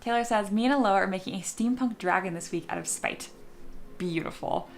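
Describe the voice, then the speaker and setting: A woman's voice: mid-range voice, fairly quick. A woman with a slightly scratchy, sleepy voice, chatting informally and thinking aloud as she teaches a livestream tutorial. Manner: informal, chatty, talks to herself and viewers. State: sleepy, voice not yet warmed up. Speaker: 3D sculpting teacher and livestream host.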